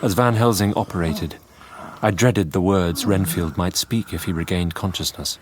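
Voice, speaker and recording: A man playing an earnest Victorian doctor, narrating a radio drama gravely and deliberately in short phrases. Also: low-pitched, crowd noise, close to an everyday voice. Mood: horrified, tense. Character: earnest Victorian male doctor recounting horrors. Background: music.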